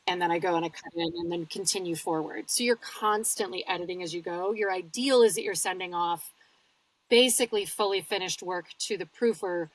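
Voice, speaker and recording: A woman's voice: on the low side, brisk, no room sound, distant mic, low-quality recording. Chatty woman, a bit off-mic. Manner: chatty, explanatory, laughs easily. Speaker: audiobook narrator, guest. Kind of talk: casual interview talk. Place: home studio.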